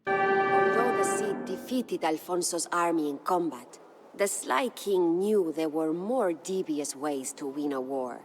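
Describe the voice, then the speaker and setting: A proud, mourning Spanish noblewoman tells a dramatic game story. Her voice sits on the low side, with formal, measured and solemn phrasing. Music plays clearly behind her. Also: lively intonation. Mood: solemn, storytelling. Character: proud grieving noble Spanish widow, lady of a castle. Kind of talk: dramatic scripted storytelling narration.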